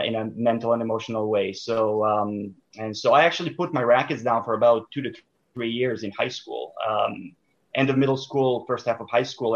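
A young man from Serbia speaks as an interview guest in a mid-range voice. He talks reflectively, pausing and restarting often as he works out his thoughts. The audio is dull and a little lo-fi. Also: medium pitch. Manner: thoughtful, hesitant, many fillers and restarts.

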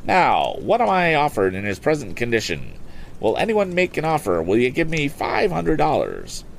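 A man voicing a middle-aged male auctioneer who talks in brisk sing-song sales patter. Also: a little high, wildly swooping pitch, vocal fry, a little hiss or hum, voice pushed up, exaggerated voice. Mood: selling.

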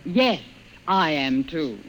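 On a vintage radio recording with faint noise, a cool office secretary. Her voice lilts up and down while staying brisk, aloof and dismissive. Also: deep voice, lively intonation. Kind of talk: old-time radio drama acting.

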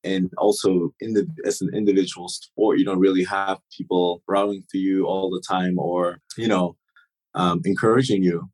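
A man in his thirties with a fairly low voice tells stories as an interview guest. He talks in a relaxed, easygoing way, full of fillers and restarts, and now and then he laughs as he speaks. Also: moderate pace, rough recording. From Turks and Caicos. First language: German. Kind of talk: casual interview storytelling.

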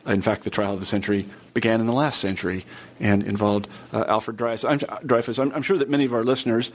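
A man's voice: fairly low pitch, fairly even intonation, fast. An American man from the Midwest with a general American accent, hosting a history book interview in a conversational way with many fillers and restarts. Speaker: podcast host. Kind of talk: interviewing an author.

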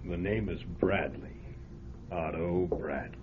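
A gloating ghostly killer. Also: male voice, unhurried. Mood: menacing. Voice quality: low gloating menace. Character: menacing male killer, a ghost come back. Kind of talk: old-time radio drama acting. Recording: tinny lo-fi radio broadcast with hiss.

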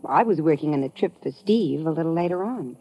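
An actress playing a coy, slyly innocent young travel agent in an old-time radio drama, on a dull, band-limited recording. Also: female voice, sits low, expressive, a little fast, dark tone, slight background noise. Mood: guarded innocence.

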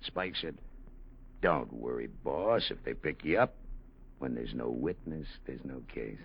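A man's voice: a bass voice, lively intonation, measured pace, vocal fry, slightly rough, full character voice. An actor playing a menacing, sardonic gangster boss in a 1940s radio crime drama, with slangy, taunting tough-guy talk. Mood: veiled threat. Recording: muffled, noisy old radio recording.

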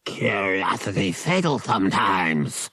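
A man voicing a big alien cat-like bodyguard, gruffly friendly, who growls out slow broken English in a storybook reading. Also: low-pitched, big pitch swings, bright, forceful tone, no room sound, full character voice. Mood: gruff warning.

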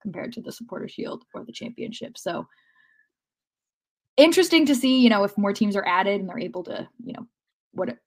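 Female sports podcast panelist, medium-pitched, thoughtful explainer who builds long analytical sentences. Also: animated, a little fast, long pauses, darkish tone. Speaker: soccer podcast co-host.

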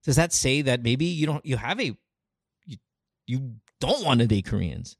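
An animated podcast host chatting casually and swearing freely. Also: medium pitch, normal speed, no room sound, studio quality. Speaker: talk show host.

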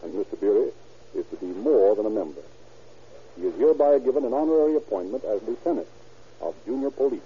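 A man narrating and announcing a 1930s radio crime drama in a measured, formal manner. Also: lowish voice, expressive, breathy edge. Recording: tinny old radio recording with faint hiss.

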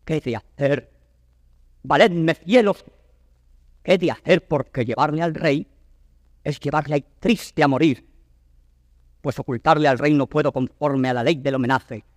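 A man's voice: on the high side, measured pace, pauses often, clean studio sound, lightly acted. Actor as anguished old nobleman, declaiming in reverb. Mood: torn, grieving. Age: older. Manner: grave, declamatory, exclamatory. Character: anguished old nobleman torn between honour and fatherly love. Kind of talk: classical Spanish verse drama, declaimed.

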